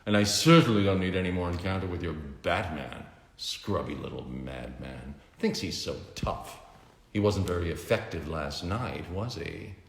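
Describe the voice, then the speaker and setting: A man's voice: low-pitched, moderate pace, roomy sound, big-room sound. A man putting on a smug villainous tycoon. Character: smooth scheming male billionaire villain.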